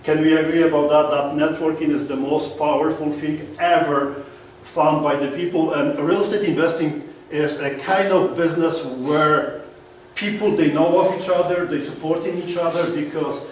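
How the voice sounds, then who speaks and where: A man's voice: on the high side, normal speed, a little hiss or hum. A man from Poland with a strong Polish accent giving a seminar talk, keeps checking that the audience agrees with him. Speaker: guest speaker. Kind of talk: seminar presentation. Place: meeting room. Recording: echoey room from far off.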